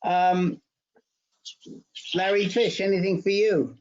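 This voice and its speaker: A man's voice: high voice, level tone, takes it slowly, highs cut off. A man moderating a support group in a casual, chatty, joking way over an online call.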